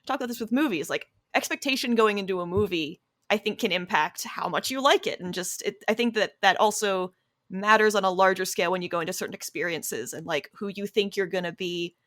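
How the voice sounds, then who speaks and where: Woman, American accent, mid-range voice, casual interview style, reflective, halting phrasing, close dry recording. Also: medium pitch, a bit bright, no room sound. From Delaware County, United States. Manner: thoughtful, hesitant, self-reflective. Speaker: interviewee and interviewer, podcast cast member.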